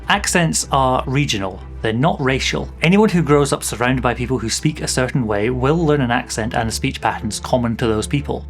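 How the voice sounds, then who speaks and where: A Scottish man, a tabletop game master, giving friendly but opinionated tips in scripted narration. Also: medium pitch.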